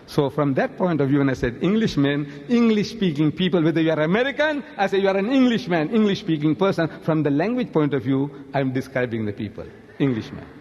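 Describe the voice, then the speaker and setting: A man's voice: a little high, lively intonation, brisk, few pauses, darkish tone, a little hiss or hum. Insistent man on dull lo-fi audio. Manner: insistent, repeating himself. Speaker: debater. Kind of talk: live debate reply. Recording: dull lo-fi audio with hall reverb.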